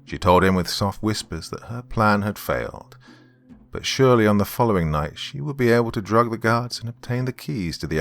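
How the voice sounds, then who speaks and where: Deep-voiced man narrating gothic horror. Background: background music.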